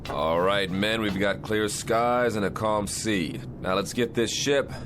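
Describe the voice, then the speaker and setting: An actor playing a calm, brisk naval captain in a radio drama, giving clipped, authoritative orders. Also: male voice, fairly low pitch, noisy background, natural voice. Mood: calm command.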